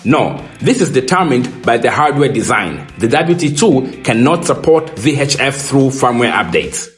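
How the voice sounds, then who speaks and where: A man presents a scripted product explainer in a measured, informative manner. His voice sits at a medium pitch and sounds roomy, with guitar music playing behind it. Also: big pitch swings.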